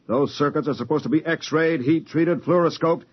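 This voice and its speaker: Husky, quarrelsome ship's engineer. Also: male voice, a little high, moderate pace. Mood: defensive, grumbling. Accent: American. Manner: blunt, complaining. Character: excitable, defensive, quarrelsome male ship's engineer. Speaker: actor in a radio drama. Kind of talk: 1950s American radio drama acting. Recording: dull 1950s radio recording.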